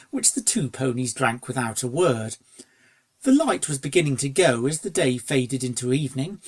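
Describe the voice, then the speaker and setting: A storyteller reads scripted fiction in a mid-pitched voice. There is a noticeable creak running through the narration.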